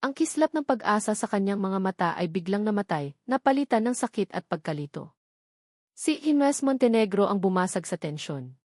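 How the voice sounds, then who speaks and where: A woman's voice: mid-range voice, animated, normal speed, a little mellow, no echo, clean studio sound. A woman with a Filipino accent reading a scripted story as a dramatic narrator.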